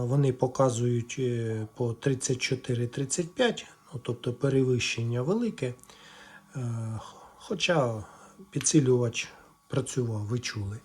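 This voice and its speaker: A man casually walking viewers through a hands-on electronics repair, explaining haltingly as he thinks aloud. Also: mid-range voice, slightly rough. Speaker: electronics repair video presenter.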